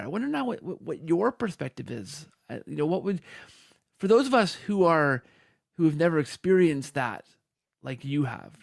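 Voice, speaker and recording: A man's voice: medium pitch, creaky, no room sound, clean studio sound. A man hosting a conversational interview, feeling his way through long questions with repeated words and restarts. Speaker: podcast host interviewer.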